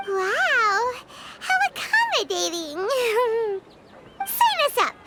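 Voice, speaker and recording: Squeaky, excitable tiny fairy companion. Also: female voice, very slow, full character voice. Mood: flattered, eager. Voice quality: squeaky, childlike. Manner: speaks of herself in third person, exclamatory. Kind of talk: anime game character acting. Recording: music behind the voice.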